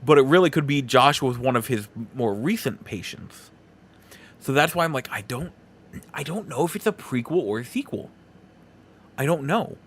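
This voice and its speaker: A man's voice: mid-range voice, expressive, moderate pace, breathy edge. Casual male podcast host, conversational and rambling. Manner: informal, conversational, rambling asides. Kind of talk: casual solo movie review talk.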